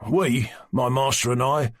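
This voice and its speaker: A man reading aloud voices a young male servant who talks gently but boasts about his master. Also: medium pitch, steady tone, unhurried, natural voice. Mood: eager. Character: young servant man, cheerful and self-important.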